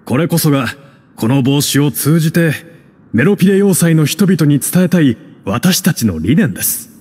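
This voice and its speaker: An actor playing an earnest, idealistic club president in an anime game, speaking formally and politely like an orator. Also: male voice, on the high side, sing-song, normal speed, a little mellow, breathy edge. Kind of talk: Japanese anime game voice acting. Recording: long hall reverb, faint music.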